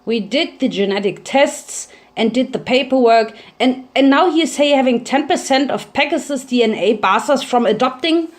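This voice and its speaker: An indignant male pony baker in a storybook drama, acted by a woman reader. The voice sits fairly high and argues with exasperated force, like a husband who is fed up with officials. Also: animated, moderate pace, few pauses. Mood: angry, indignant. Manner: indignant, argumentative.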